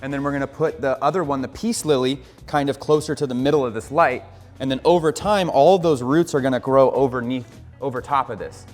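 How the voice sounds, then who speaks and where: A man's voice: medium pitch, brisk, darkish tone, long reverb tail, soft background music. An American man hosting a casual hobby vlog, upbeat and coaching, explaining each step plainly. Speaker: aquarium hobbyist host.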